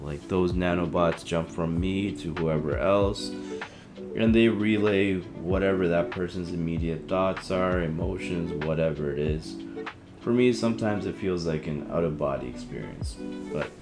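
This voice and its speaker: A man's voice: low-pitched, measured pace, music in the background. A man playing an easygoing, empathetic, slightly awkward young helpline counselor in a casual audio drama. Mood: reflective. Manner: casual, hesitant, slangy. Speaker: helpline host.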